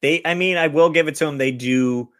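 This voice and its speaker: A man's voice: on the high side, brisk, fairly bright tone, dry recording, studio quality. A man hosting a podcast, chatting casually and reading out film reviews. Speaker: podcast host.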